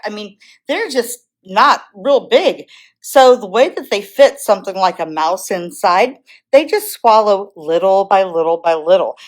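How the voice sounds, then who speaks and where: A woman with an American accent works as a zoo educator, explaining animals to children in simple, conversational terms in an informal educational talk. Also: mid-range voice.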